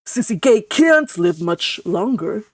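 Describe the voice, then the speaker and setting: Woman playing a stern old uncle, grave, disapproving, slow. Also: mid-range voice, big pitch swings, husky, no echo, clean studio sound, heavily acted.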